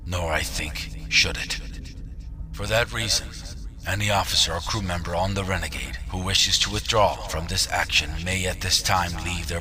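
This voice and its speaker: An actor playing a grave, resolute starship general in an audio drama, speaking in a solemn, formal, measured way. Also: male voice, deep voice, natural voice.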